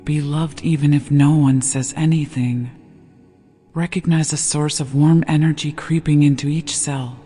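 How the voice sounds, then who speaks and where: A gentle, hushed voice guiding a sleep meditation with slow, deliberate scripted narration. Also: medium pitch, fairly even intonation, breathy edge. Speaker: meditation guide. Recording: long reverb over background music. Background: meditation music.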